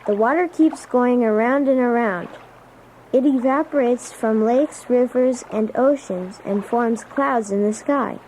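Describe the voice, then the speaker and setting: A woman's voice: on the high side, unhurried, darkish tone, a little hiss or hum, close to an everyday voice, rough recording. A woman voicing an earnest schoolchild reciting a science report in a children's read-along. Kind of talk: scripted science fact reading.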